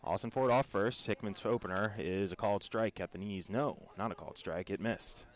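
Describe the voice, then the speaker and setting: A man with an American accent calling baseball play-by-play for radio in a measured, descriptive storytelling way. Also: fairly low pitch. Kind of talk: radio sports commentary.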